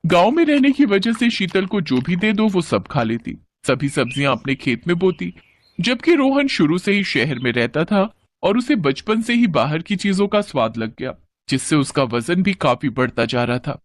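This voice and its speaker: A man narrates a children's cartoon story from a script. His pitch sits fairly high and moves in lively swings, with a close, dry studio sound. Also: normal speed, dry recording.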